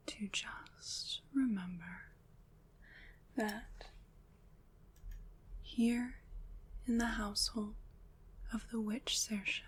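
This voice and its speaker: Woman as a gentle witch in breathy whisper. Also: a little high, heavily acted. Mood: soothing, protective. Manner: soft, slow, reassuring, measured. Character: gentle, protective witch soothing away nightmares. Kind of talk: ASMR roleplay.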